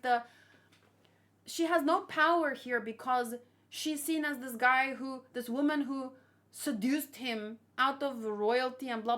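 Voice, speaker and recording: A woman's voice: high voice, a bit bright. A woman hosting a read-along, giving casual, emotive commentary in a conversational way that often trails off mid-thought. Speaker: host of a manga read-along. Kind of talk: casual reaction commentary, reading aloud.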